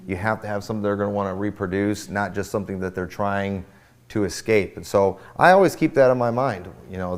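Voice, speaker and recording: A man, a pastor on a panel, giving advice in an earnest, steady, explaining way. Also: lowish voice. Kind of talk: casual advice talk.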